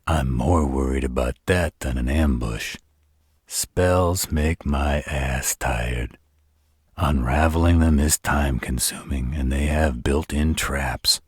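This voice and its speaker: Man performing a gruff magical enforcer, rock-bottom pitch, very breathy rasp, dry close sound, world-weary, protective, roleplay audio. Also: no room sound, exaggerated voice. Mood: grave, then weary, resolute. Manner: gruff, deliberate, slangy. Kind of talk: roleplay audio drama addressed to the listener.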